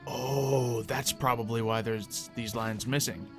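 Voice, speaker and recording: A man with a mid-range voice hosts a gaming video over clearly audible background music. He comments casually on the gameplay, working through his thoughts aloud. His delivery is conversational and explanatory, like a player walking viewers through each step. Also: medium pitch, fairly even intonation, measured pace. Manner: thinks out loud, explanatory, conversational.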